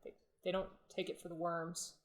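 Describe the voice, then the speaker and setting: A woman's voice: fairly low pitch, flat delivery, close to an everyday voice. A woman playing a hesitant, apologetic bearer of awkward news in a comic audio sketch. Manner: halting, apologetic.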